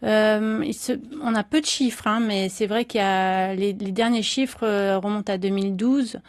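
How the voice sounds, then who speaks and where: A woman's voice: medium pitch, fairly even intonation, moderate pace, dry recording, studio quality. A woman journalist as a guest on a morning show, answering in a hesitant way with many fillers and restarts. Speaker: journalist and documentary director, guest. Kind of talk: morning radio interview, unscripted.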